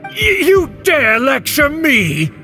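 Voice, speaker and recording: Haughty aristocrat uncle, game villain: imperious, slow, theatrical delivery, music underneath. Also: male voice, very high-pitched, wildly swooping pitch, sharp tone, heavily acted. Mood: outraged. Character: haughty, pompous aristocratic uncle, scheming and indignant. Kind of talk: video game character acting.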